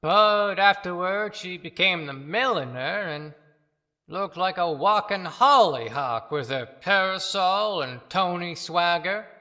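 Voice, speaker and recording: A resentful, overlooked small-town husband acted by a woman airs grievances in a dramatic monologue. The words come very slowly in a bright, tense tone, under long reverb. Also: lowish voice, lively intonation, heavily acted.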